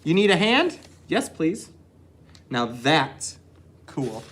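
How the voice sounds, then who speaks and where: A storyteller reading a children's picture book aloud expressively, with dramatic pauses and drawn-out words for emphasis. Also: mid-range voice, sing-song, a bit bright. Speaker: storytime reader.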